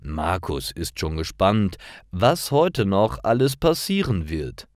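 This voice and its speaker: A man reading scripted stories for language learners in a careful, clearly phrased storytelling manner. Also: deep voice, sing-song, slow, no room sound, studio quality. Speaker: narrator of German learner stories.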